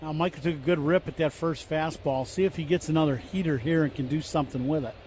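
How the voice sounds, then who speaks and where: A man giving relaxed, rambling baseball color commentary on the radio, full of fillers, speaking as a former player. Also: on the high side, quick pace, slight background noise. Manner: rambling, filler-heavy analysis.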